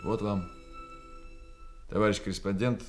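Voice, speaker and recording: Actor as low-voiced, caring army major. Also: male voice, steady tone, unhurried, a little mellow, faint music behind, lightly acted. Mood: brisk, caring. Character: army major, commanding yet caring for his men. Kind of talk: radio play acting.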